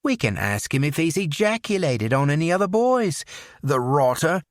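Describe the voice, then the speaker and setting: A man's voice: fairly high pitch, big pitch swings, normal speed, no room sound, studio quality, voice pushed up. A man voicing an unhinged, menacing young man who slips into a put-on English accent. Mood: mocking menace.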